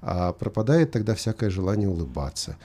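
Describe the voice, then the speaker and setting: A man's voice: fairly low pitch, sing-song, brisk, mellow, husky. A man hosting a conversational talk show, questioning and arguing his points and acting out imagined remarks. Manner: argumentative, questioning, acts out imagined quotes.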